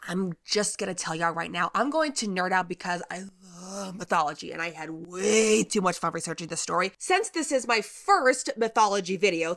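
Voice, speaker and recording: A woman's voice: medium pitch, a bit bright. A young woman hosting her channel, chatty and playful, laughing often, with a congested nose. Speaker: YouTube host. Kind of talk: casual chatty storytelling vlog. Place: home room with humidifier.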